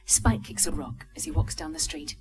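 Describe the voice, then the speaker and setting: A woman reading stage directions at a live script reading, brisk and amused, with the odd slip. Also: deep voice, lively intonation, heavy background noise. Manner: brisk, amused, occasional slips.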